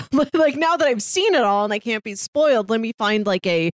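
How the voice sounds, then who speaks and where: Lively-pitched woman, close dry sound. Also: moderate pace, no room sound. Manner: informal, conversational. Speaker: podcast host. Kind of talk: casual review chat.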